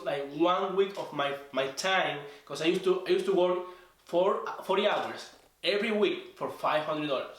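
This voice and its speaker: A young man from Venezuela with a strong Spanish accent. He speaks English as a second language, earnest and halting, and repeats words while he searches for the English. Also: fairly high pitch, a bit bright. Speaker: trading student guest. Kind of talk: casual interview storytelling. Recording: echoey room, voice from a distance.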